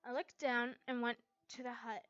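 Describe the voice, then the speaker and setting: A woman reading fan fiction aloud as an amateur, in an expressive storytelling style. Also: a little high, normal speed, no room sound, dull audio. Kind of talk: expressive story narration, character dialogue acted out.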